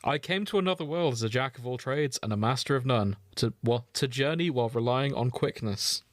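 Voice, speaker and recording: A man's voice: medium pitch, fairly quick, dry recording, clean studio sound. A man co-hosting a podcast with casual, sweary comedic banter and mock exasperation, often reading things out. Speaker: podcast co-host.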